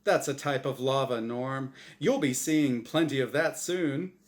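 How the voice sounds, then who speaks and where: A man's voice: a little slow, natural voice. A man voicing a gleeful, smugly cheerful angel game-show host with a big announcer delivery, in a story reading. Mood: smug, teasing. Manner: booming, exaggerated announcer delivery.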